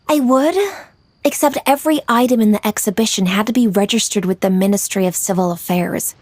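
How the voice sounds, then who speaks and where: A woman's voice: on the high side, normal speed, no echo, close to an everyday voice. A woman playing a young, diligent female official in a video game, speaking in a composed, polite and formal way.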